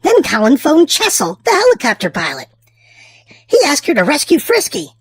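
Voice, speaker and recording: A woman hosting and narrating a children's storybook aloud, with theatrical, drawn-out emphasis for small children. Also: high voice, wildly swooping pitch, no echo. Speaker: children's storybook reader and channel host. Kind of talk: expressive read-aloud narration for young children.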